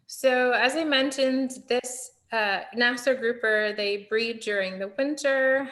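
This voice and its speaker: Female scientist, crisp forward brightness, informal explainer delivery over a video call. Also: fairly high pitch. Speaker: marine scientist guest.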